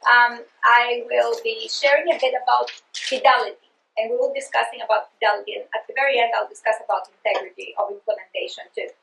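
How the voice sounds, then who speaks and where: A woman's voice: on the high side, steady tone, fast talker, vocal fry, a bit bright, roomy sound, rough recording. A middle-aged woman from Greece with a Greek accent, earnestly giving a professional development talk to teachers, with frequent restarts. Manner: earnest, passionate, with many restarts. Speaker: literacy presenter.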